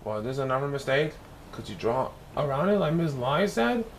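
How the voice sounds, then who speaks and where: A self-doubting little schoolgirl from a picture book, as a man reads her. She speaks in a plaintive, defensive way. There is clear noise on the recording. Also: mid-range voice, very animated, normal speed. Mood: worried. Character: self-doubting young schoolgirl who loves to draw.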